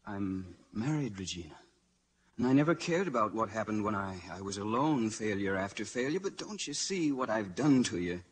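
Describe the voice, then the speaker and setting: An actor playing an earnest, excitable aviation inventor in 1940s radio drama, halting and repeating words when worked up. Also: male voice, on the low side, husky. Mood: discouraged. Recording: noisy 1940s radio broadcast recording.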